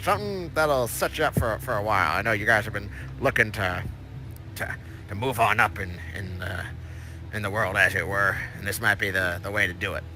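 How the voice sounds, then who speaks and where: A man's voice: lowish voice, fairly quick, bright, forceful tone, slight background noise. A man voicing a stammering crab alien broker with a Southern twang. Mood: rambling. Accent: Southern US, as the figure. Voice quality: stammering, talks in tangled restarts. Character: rambling male crab-like alien information broker.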